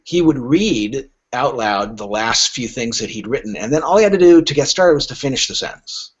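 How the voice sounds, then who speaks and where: A man chatting casually as an interview guest, giving advice in a halting, storytelling way. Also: medium pitch, expressive, husky. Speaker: podcast guest, literary agent. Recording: dull, lo-fi audio.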